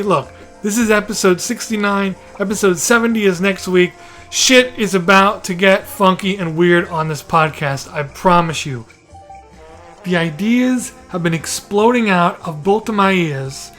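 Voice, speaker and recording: High-pitched man hosting a podcast over music. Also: a bit bright. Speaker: podcast host. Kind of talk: casual podcast talk.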